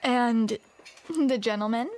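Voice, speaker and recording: A woman's voice: fairly high pitch, takes it slowly, a little mellow. A woman performing a scripted romantic audio roleplay, with playful, teasing banter, close to the microphone.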